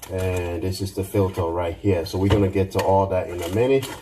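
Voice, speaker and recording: A man's voice: deep voice, steady tone, brisk. A man giving a casual hands-on car repair tutorial. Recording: clear noise, clattering, distant playback.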